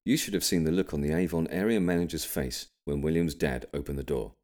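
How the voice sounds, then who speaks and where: A British man reading an audiobook, delivering scripted comic narration in an expressive storytelling manner. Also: very deep voice, fairly quick. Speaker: audiobook reader.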